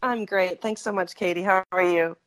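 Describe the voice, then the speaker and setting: A middle-aged woman from Saskatchewan tells her story as an interview guest. She speaks at a slightly low pitch, chatting freely, backtracking and correcting herself as she goes. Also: fairly even intonation, moderate pace. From Canada, Saskatchewan. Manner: chatty, rambling, frequent self-corrections and laughs. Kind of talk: conversational storytelling.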